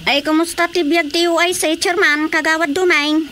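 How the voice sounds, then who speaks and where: A woman's voice: extremely high pitch, fairly even intonation, moderate pace, sharp tone, soft background music. A woman with an Ilocano Filipino accent, acting a sassy, scolding young woman in a radio comedy drama. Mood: chatty.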